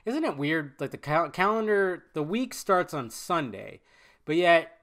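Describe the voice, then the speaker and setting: Bright-voiced man, nasal from a cold. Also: on the high side, big pitch swings, unhurried. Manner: loose and chatty, many fillers and restarts. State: stuffy nose from a cold. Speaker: podcast host. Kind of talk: casual rambling chat.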